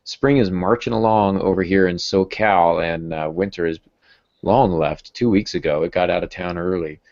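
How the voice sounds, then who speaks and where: A man's voice: sits low, normal speed, darkish tone. An American man in his thirties chatting casually as a podcast host over a video call. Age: 30s. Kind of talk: casual chat. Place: home room with bookshelves. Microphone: earphone headset on video call. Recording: dull, lo-fi video call audio.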